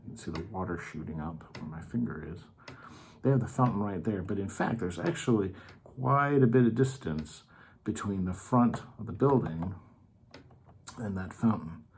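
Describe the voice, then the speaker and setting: A man's voice: low-pitched, darkish tone, slight background noise. A man hosting a children's story time, patiently explaining things in simple terms in an informal, educational read-aloud style.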